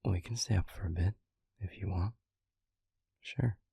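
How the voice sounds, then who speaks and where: A man playing a tender, devoted demon lover in an intimate audio roleplay, speaking gently and hesitantly in a husky near-whisper. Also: deep voice, no room sound, full character voice. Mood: tender, concerned. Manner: gentle, hesitant, slow and deliberate.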